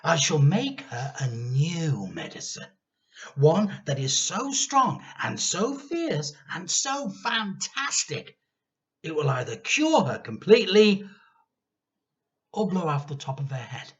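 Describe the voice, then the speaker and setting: A man's voice: fairly high pitch, slow, pitched above the performer's own voice, heavily acted. Casting: male reader as mischievous young storybook boy, defiant and gleeful, swooping emphatic lines, slightly dull recording. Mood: gleeful, scheming. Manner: excited, emphatic, chanting delivery. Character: mischievous, defiant eight-year-old boy plotting revenge. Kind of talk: dramatised children's storybook reading.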